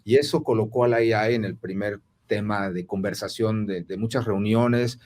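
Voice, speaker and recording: A man hosting a podcast conversation, asking questions with many filler pauses. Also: lowish voice, darkish tone. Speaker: podcast host. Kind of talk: casual conversational interview.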